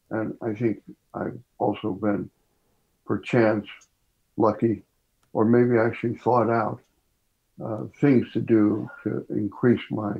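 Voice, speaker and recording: An older man, a professor, speaking as an interview guest in a measured, slightly formal way. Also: fairly low pitch, gravelly, breathy edge, phone-quality sound. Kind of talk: reflective interview talk.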